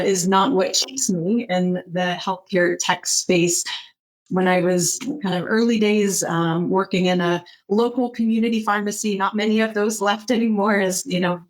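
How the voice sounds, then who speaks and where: Lowish-voiced American woman, measured and conversational. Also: low-quality recording. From United States, Northeast Ohio. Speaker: podcast guest, healthcare technology executive. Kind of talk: conversational interview answer.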